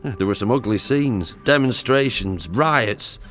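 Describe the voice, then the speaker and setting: A man's voice: medium pitch, expressive, measured pace, fairly bright tone, faint music behind. An actor playing a blunt, suspicious working man in a science-fiction audio drama, talking in a colloquial, sardonic way. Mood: scornful, explaining.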